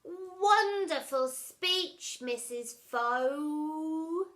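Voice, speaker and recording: A woman reading the lines of a sly, smirking schoolboy who speaks with slow, mock-sincere politeness. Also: high voice, bright, forceful tone, voice pushed up.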